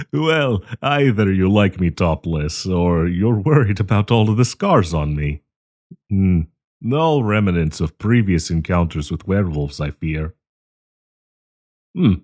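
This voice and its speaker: Casting: male actor as genteel old professor, secretly predatory; deep, swooping delivery, deliberate and playful, for intimate roleplay audio. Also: expressive, darkish tone, full character voice. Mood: teasing, fond. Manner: courtly, teasing, chuckling, deliberate. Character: kindly old male professor hiding a predatory, possessive menace.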